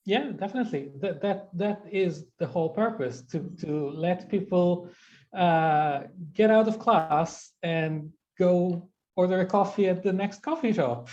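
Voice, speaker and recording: A woman's voice: fairly low pitch, a little slow, darkish tone, lo-fi sound. A woman from Israel whose first language is Hebrew, explaining things as an interview guest with frequent restarts, speaking over a video call. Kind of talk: conversational interview.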